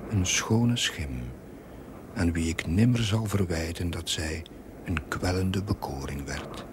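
A frail young priest narrating his diary for a period television drama. The voice is deep and unhurried, measured and literary, often falling into a near whisper. He sounds weary and dryly reflective, over a clearly noisy vintage recording. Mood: wryly solemn. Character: young ailing chaplain, weary, wryly reflective diarist.

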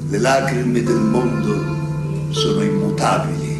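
A man's voice: lowish voice, steady tone, measured pace, lightly acted. An actor playing a pompous, blustering middle-aged landowner, declaiming theatrically and laughing at his own words. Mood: musing. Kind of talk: stage play performance. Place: theatre stage. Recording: echoey stage recording from far off, loud music.

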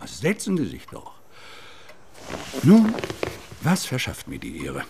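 An actor playing a wealthy estate owner in a radio drama, speaking in a formal, courteous and reserved manner. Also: male voice, fairly low pitch, huge pitch swings, slow pace, warm tone, a little hiss or hum, heavily acted. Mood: guarded, courteous.

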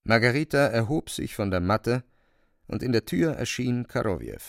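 A man with a gravelly voice reading scripted literary narration as the narrator of a drama. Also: medium pitch, lively intonation, moderate pace, dry recording, clean studio sound.

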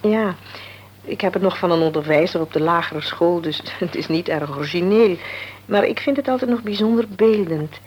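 An actress playing a middle-aged mother in a radio drama, explaining things patiently and calmly. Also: fairly low pitch, normal speed, close to an everyday voice, poor audio. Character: calm, wise mother of an adult daughter.

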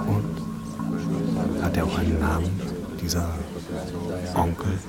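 A man's voice: low voice, fairly even intonation, measured pace. A male actor in a German radio drama playing a wry, probing security officer. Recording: loud music under studio dialogue.

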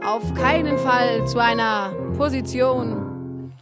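A woman's voice: fairly high pitch, takes it slowly. An actress playing a nervous, status-minded young girlfriend, reciting fretful rhymes in a radio play. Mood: fretful, reproachful. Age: young adult. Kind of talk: radio play verse monologue to music. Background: loud piano music.

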